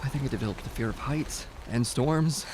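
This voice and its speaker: A man's voice: medium pitch, a little fast, background noise, lightly acted. A man playing an earnest, anxious young hiker in an amateur audio drama. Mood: shaken.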